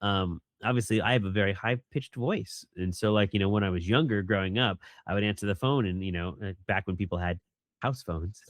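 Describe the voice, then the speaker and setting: Animated man, dry close voice. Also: fairly quick, no room sound. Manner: animated, jumps into a higher voice when quoting people. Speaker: voice actor guest. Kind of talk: casual interview chat.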